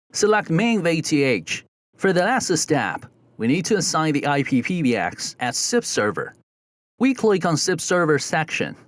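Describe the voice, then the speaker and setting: A man reading a scripted step-by-step software tutorial in a methodical, instructional way, as a close voice-over. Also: on the high side, no room sound. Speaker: tutorial narrator.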